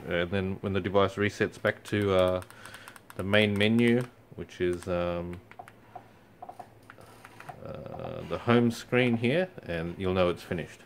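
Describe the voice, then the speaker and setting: A young man talking through a product how-to, easygoing and a little halting, full of ums and you-knows. His voice sits on the lower side. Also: slight background noise. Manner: relaxed, many fillers. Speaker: company product presenter. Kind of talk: casual how-to walkthrough. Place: indoors in front of a TV screen.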